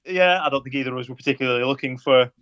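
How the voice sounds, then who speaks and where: A man in his thirties with a Lancashire accent, a guest on a podcast interview, speaking in a measured, hesitant way with many fillers and a dry sense of humour. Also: medium pitch, a bit bright, smooth, no room sound, highs cut off. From Lancashire, England. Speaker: guest, police officer.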